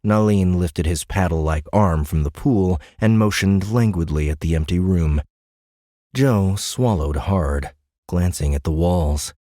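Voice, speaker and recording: A man reading scripted dramatic audiobook narration in a measured, suspenseful manner. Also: low voice, warm tone, no echo, clean studio sound.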